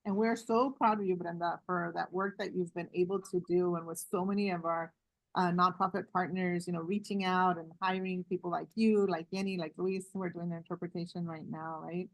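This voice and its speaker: A woman hosting a community call, encouraging and warm, often tagging her points with "right?". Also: fairly low pitch, fairly even intonation, fairly quick, no echo, poor audio. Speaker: host. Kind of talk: moderating a community video call.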